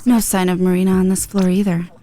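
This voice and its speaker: A woman voicing a cool, laconic young guide from a video game, in a deadpan, measured manner. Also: fairly low pitch, voice pushed down, natural voice. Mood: calm, explaining. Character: cool, low-voiced laconic young guide, calm and dry. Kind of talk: character reading of video game dialogue.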